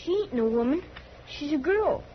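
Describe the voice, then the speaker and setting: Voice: sits high, expressive, moderate pace. A performer playing an earnest eight-year-old farm boy with folksy, rustic country talk, in 1950s radio western style. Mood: earnest, troubled. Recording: muffled, faintly noisy vintage radio recording.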